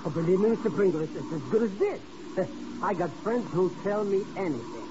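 A wheedling small-time informant in an old radio drama. His high voice jumps around as he stammers and grumbles. The recording is dull and noisy. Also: animated, fairly quick. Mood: wheedling, excitable. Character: wheedling, nervous, money-minded small-time informant sidekick. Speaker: supporting actor in a radio drama. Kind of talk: 1950s radio comic-sidekick acting.

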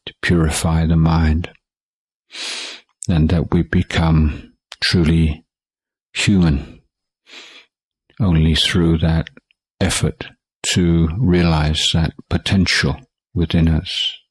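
A man teaching guided meditation in a calm, measured way, leaving long pauses between short phrases. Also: rumbling low, husky, no room sound, low-quality recording. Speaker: Buddhist monk meditation teacher.

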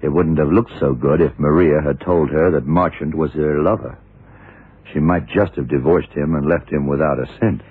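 An actor playing a shrewd, worldly, commanding male detective who explains deliberately, in the style of vintage radio drama. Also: extremely low pitch, fairly even intonation, slightly rough, dry recording. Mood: patient, knowing. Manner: deliberate, hard-boiled explaining. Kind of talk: 1960s-70s radio drama acting. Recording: muffled, narrow-band vintage radio recording.

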